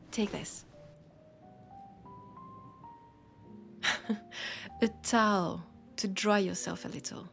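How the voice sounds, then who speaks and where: Intimate roleplay: female actor as gently teasing mortal bookseller, French accent, mid pitch, unhurried delivery, music underneath. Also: creaky. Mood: fussing, caring. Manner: soft, hesitant, gently teasing, unhurried with pauses. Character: warm, teasing, worried mortal bookseller woman, fond of a vampire. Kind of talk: intimate scripted roleplay audio.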